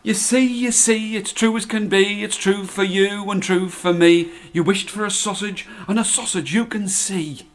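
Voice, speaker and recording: Man doing a high, squeaky, flustered old fool, singsong, storybook. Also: unhurried, fairly bright tone, exaggerated voice. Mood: gleeful singsong. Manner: high-pitched, bouncing, singsong. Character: excitable, foolish old man, a folktale husband. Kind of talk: storybook character voice.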